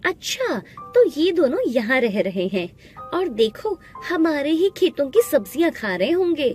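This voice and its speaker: A woman voicing a spiteful, scheming village wife in an animated moral tale, contemptuous and sharp-tongued. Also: high voice, a little slow, voice pushed up. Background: background music.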